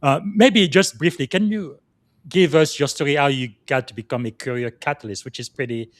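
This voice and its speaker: Congolese-born man hosting with many pauses. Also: mid-range voice, no room sound. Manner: frequent filler pauses, enthusiastic introductions. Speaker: podcast host. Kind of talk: hosting an interview.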